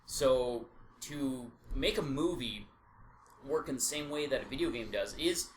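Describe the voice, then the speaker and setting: A man's voice: mid-range voice, unhurried. Man podcasting in an echoey room. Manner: opinionated, explanatory, casually profane. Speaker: podcast co-host. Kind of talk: casual podcast discussion. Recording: echoey room with faint background noise.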